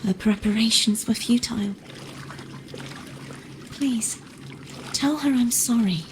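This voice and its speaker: Slow, soft-spoken doomed young woman. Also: fairly high pitch, background noise. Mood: wistful, resigned. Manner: solemn, deliberate, wistful. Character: gentle doomed young woman, resigned and self-sacrificing. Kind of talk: dramatic video game voice acting.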